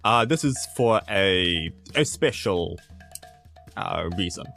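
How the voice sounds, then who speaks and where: A man's voice: sits low, lively intonation, gravelly, fairly bright tone, soft background music, exaggerated voice. A man with a New Zealand accent voicing a pompous, officious guard officer who halts as he bluffs in a tabletop game. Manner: stiff, officious, halting.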